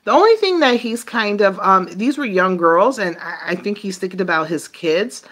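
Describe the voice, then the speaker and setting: A woman doing a casual, improvised tarot reading for viewers, thinking aloud in a halting way and trailing off mid-phrase. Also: medium pitch, animated, fairly bright tone. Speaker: tarot reader.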